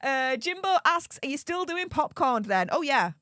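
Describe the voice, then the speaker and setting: A woman's voice: sits high, very animated, moderate pace, sharp tone, no room sound, clean studio sound. A woman with a Yorkshire accent hosting a live stream, reading out donations with perky, gushing enthusiasm. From Yorkshire, England.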